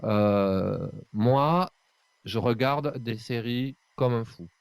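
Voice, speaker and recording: A man's voice: mid-range voice, very slow, gravelly, clean tone, no room sound. A man chatting casually on a podcast, talking in an emphatic, colloquial way. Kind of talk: casual chat.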